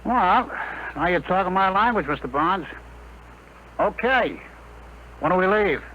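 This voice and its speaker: A man's voice: sits high, lively intonation, unhurried. An actor playing a wisecracking, grumbling working-class rocket radioman with folksy slang, in a 1950s radio drama style on a noisy old broadcast. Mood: eager.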